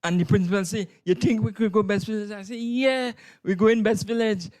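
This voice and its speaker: A man's voice: high voice, steady tone, fairly quick, darkish tone. A man with a Trinidadian accent answering interview questions as a drama tutor, emphatically and in short bursts.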